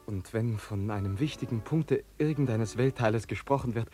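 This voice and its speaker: An actor playing a bashful, eager young courtier with a hesitant, formal way of speaking in a German radio drama. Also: male voice, lowish voice, moderate pace, dark tone, lightly acted. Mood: hesitant, earnest. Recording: prominent music under the voice. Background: music.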